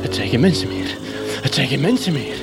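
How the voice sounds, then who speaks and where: A man's voice: medium pitch, lively intonation, brisk, over loud music, lightly acted, poor audio. A man playing an unhinged gung-ho army captain in a comedy radio play, barking military jargon. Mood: haunted. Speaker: voice actor.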